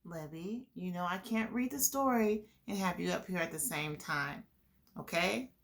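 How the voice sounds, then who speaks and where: A woman's voice: fairly low pitch, normal speed. A woman hosting a children's storytime, reading a picture book aloud and explaining it to young listeners. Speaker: children's storytime host and reader.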